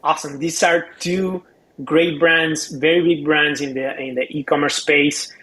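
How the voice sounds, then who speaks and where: A man's voice: a little high, fairly even intonation, measured pace, pushed and bright, low-quality recording. A man giving casual expert commentary as a co-host, explaining step by step and often repeating words as he goes.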